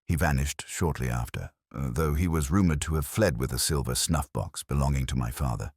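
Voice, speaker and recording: A man reads as an uneasy aristocrat, restrained and formal. The voice is very deep and dry, guarded in the manner of a mystery audiobook. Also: a little fast, a little mellow, slightly rough, dry recording. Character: thin, restrained, uneasy aristocratic male landowner.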